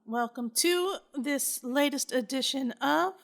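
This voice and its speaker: A woman's voice: high voice, slow, creaky, a bit bright. A middle-aged woman hosting her podcast solo, chatting loosely with lots of ums and uhs. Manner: chatty, rambling, many fillers. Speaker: podcast host. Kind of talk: casual solo podcast chat.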